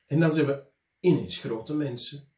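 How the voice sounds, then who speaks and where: A man voicing a group of excited small children who talk eagerly and pleadingly in high voices. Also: normal speed, close to an everyday voice. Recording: roomy echo.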